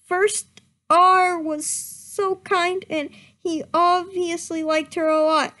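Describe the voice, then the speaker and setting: Slow, giggly young woman reading aloud. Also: very high-pitched, little pitch movement, sharp tone. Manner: breaks into laughter, stumbles over misspelled words, reads slowly. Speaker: reader. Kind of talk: comedic dramatic reading of fan fiction.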